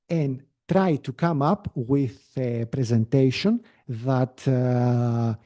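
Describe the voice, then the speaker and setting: A man whose first language is Greek talks through a screen-shared software demo on a video call. His voice is mellow and sits at a middle pitch. He explains in a relaxed way, with frequent fillers and restarts. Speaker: webinar presenter.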